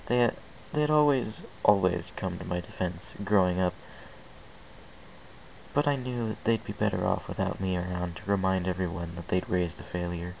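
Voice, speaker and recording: A man narrates a first-person story with an earnest, unpolished delivery. His voice is very deep and carries a gravelly fry. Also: moderate pace, a little mellow, slight background noise, poor audio. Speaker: fan fiction reader.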